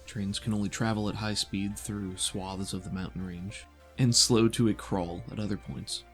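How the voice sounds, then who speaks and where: A man narrating a tabletop game as game master, in a measured, descriptive storytelling manner. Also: on the low side, fairly even intonation, soft background music.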